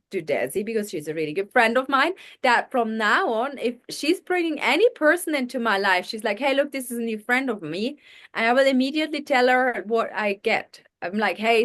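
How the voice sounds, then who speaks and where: A woman's voice: fairly high pitch, fairly bright tone. A woman telling a personal story in a casual chat, candid and earnest.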